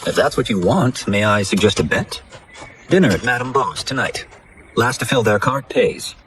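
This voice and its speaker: An actor playing an earnest, contented young farmer in a video game cutscene, sincere and gently good-humored. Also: male voice, mid-range voice, animated, normal speed, a little hiss or hum, close to an everyday voice.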